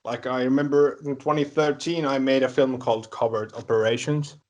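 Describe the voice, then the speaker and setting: A man's voice: mid-range voice, fairly even intonation, fairly quick, lo-fi sound. Young finnish guy chatting on a call, rambles, laughs between bits. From Finland. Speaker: fan film creator. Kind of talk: casual chat on a video call.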